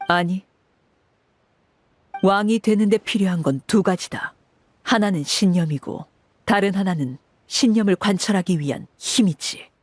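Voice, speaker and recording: An actress playing a stern woman leader, speaking in a measured, formal, authoritative way in a dramatic game scene. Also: mid-range voice, dry recording, close to an everyday voice. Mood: stern, resolute. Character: stern, commanding woman leader of an orphanage, called Father. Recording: music under the voice.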